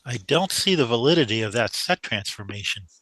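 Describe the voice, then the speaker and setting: Guy acting as eager humble student in a sci-fi radio play, bright tense voice, dry close sound. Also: medium pitch, animated, a little fast, no echo, poor audio. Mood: questioning, humble. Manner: earnest, deferential. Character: earnest, humble young mathematician pupil, uneasy.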